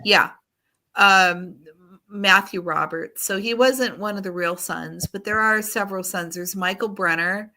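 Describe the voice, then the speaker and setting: A woman's voice: mid-range voice, a little slow, sharp tone. A woman in casual conversation, explaining things in an informal way. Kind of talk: casual chat.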